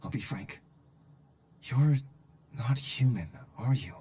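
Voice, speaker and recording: A husky, earnest, fretful colleague. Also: male voice, mid-range voice, lively intonation, takes it slowly, dark tone. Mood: probing, worried. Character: earnest, fretful male colleague, concerned and exasperated. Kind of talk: video game voice acting.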